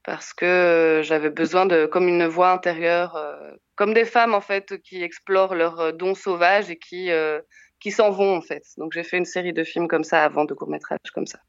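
Soft low Belgian woman, hesitant. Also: steady tone, normal speed, no echo, dull audio. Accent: Belgian French. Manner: hesitant, many fillers and restarts. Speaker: film director. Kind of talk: interview answers.

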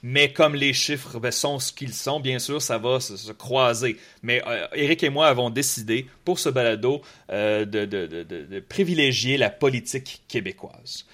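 A man with a Quebec French accent hosting a casual political podcast, relaxed and talkative, often repeating words as he searches for the next one. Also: mid-range voice, normal speed, hardly any pauses. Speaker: podcast host, political columnist. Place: in Quebec City.

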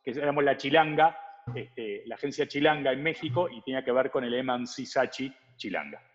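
A man's voice: medium pitch, expressive, fairly quick. Male interview guest, mid-range voice, conversational, muffled recording in a very reverberant space. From Uruguay. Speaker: advertising agency partner, interview guest. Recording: dull audio with long reverb.

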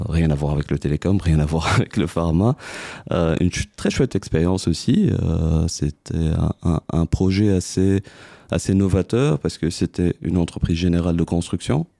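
A man in his forties with a very low, dark voice, recorded close on a dry mic with no room sound. He talks casually as a podcast guest, stopping often to restart his sentences and fill gaps. He comes from Tunisia. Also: no room sound. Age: 40s. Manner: hesitant, many fillers and restarts. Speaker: podcast guest, business owner. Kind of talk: casual interview.

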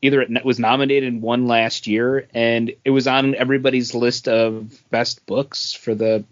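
A man's voice: mid-range voice, flat delivery, moderate pace. Man, podcast co-host, mid-range voice, casual chat, slightly dull lo-fi sound.

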